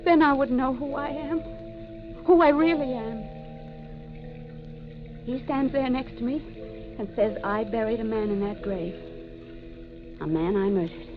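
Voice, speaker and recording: A woman's voice: a little high, measured pace, pauses often, over music, lightly acted. An actress playing an anguished woman with amnesia who has killed, in brooding, melodramatic 1940s radio mystery style. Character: anguished amnesiac murderess, haunted and despairing. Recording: muffled old 1940s radio recording.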